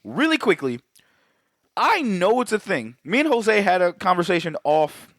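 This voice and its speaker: A man's voice: high voice, sing-song, normal speed, fairly bright tone, no echo, studio quality. A young man co-hosting a podcast, rambling in casual chat with many restarts. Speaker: podcast co-host.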